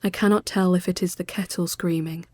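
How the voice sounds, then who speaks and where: A woman giving a literary first-person narration, measured, hushed and sombre. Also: lowish voice, steady tone, no echo. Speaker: storyteller.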